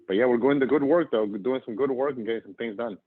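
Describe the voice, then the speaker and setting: A man's voice: medium pitch, quick pace. A man from northern Argentina talking casually as an interview guest, hesitant and digressive. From northern Argentina, moved to the US at ten. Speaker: interview guest, nonprofit executive director. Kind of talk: casual conversational self-introduction. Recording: phone-like, narrow radio-style call audio.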